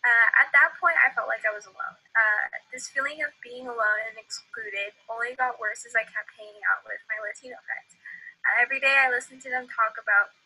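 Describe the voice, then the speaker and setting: A woman's voice: a little high, brisk, husky, poor audio. A young woman, whose was Tagalog, earnestly reading her own essay aloud in a recorded video. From United States. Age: late teens. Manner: earnest, with soft filler ums and light laughs. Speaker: graduating high school student.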